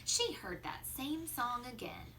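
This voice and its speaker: A woman reading a children's story aloud like a teacher, expressively, drawing out words and dropping into hushed asides. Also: fairly high pitch, very animated, takes it slowly, slight background noise, rough recording.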